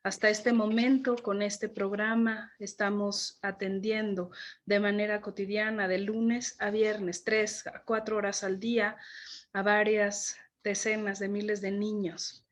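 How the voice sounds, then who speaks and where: A woman from Mexico, a government official chairing a panel, giving a measured, earnest formal speech. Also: medium pitch, flat delivery.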